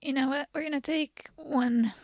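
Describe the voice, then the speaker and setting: A soft-spoken woman with a somewhat high voice chats casually as a podcast host, talking fast and laughing easily. The sound is close and dry, with no room around it. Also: fairly even intonation, dry recording, dull audio. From United States. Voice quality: gentle soft voice. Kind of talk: casual conversational chat.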